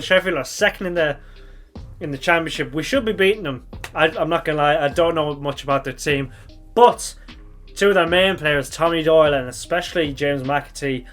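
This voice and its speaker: A young man with a slightly croaky voice from shouting, chatting casually through a football reaction vlog, thinking aloud and correcting himself as he goes. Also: a little high. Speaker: football fan channel host.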